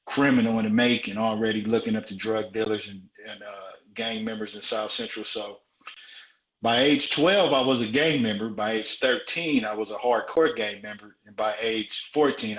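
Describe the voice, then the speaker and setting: A man in his forties from South Central Los Angeles tells his life story frankly, stacking repeated phrases for emphasis. His voice sits fairly low. The sound is dull and roomy, like a live stream picked up in an echoing space. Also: a bit bright. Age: 40s. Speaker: guest.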